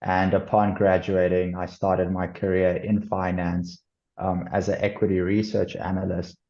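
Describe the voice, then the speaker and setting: Brief: South African man, deep flat voice, measured webinar presenter, dull call audio. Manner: measured, formal business talk. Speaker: corporate finance lead.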